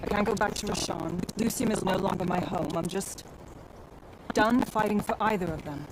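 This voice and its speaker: A woman acts a weary, sardonic young fighter in a fantasy game. Her delivery is naturalistic and hesitant at a middling pitch, under heavy background ambience. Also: normal speed, very noisy, lightly acted. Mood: weary, resolute. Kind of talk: naturalistic video game acting.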